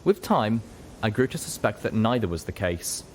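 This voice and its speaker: A man with a very low voice narrates a first-person tale. His reading is scripted and dramatic, like an audiobook. There is clear noise behind him, including gunfire effects. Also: very animated, moderate pace, a little mellow. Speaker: narrator, story reader.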